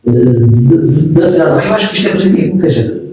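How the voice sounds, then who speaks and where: A man's voice: a little high, expressive, fast, mellow. A man, reflecting as an interview guest and often restarting his sentences. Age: 70s. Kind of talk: casual interview talk. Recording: echoey room, distant mic.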